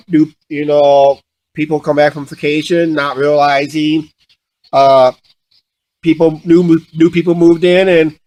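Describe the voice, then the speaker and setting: A man telling stories casually and unscripted, halting and often repeating himself as he restarts sentences. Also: a little high, darkish tone, clear, resonant tone, no room sound, low-quality recording. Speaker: vlog co-host.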